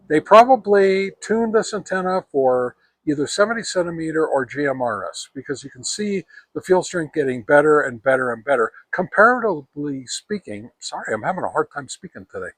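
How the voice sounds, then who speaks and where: An older man of about seventy gives a casual product review to camera, speaking haltingly and often correcting himself. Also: a little high, fairly bright tone, no room sound, low-quality recording. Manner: halting, hesitant, self-correcting delivery. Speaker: ham radio gear reviewer. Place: outdoors on a wooden deck among trees.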